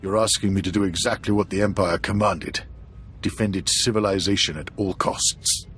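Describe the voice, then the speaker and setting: An actor playing a tormented, repentant former dark warrior in a video game cutscene, speaking gravely, as if confessing. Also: male voice, deep voice, fairly even intonation, moderate pace, a little hiss or hum. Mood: troubled. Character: tormented repentant dark Jedi, former Imperial, guilt-ridden man. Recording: game music under the voice.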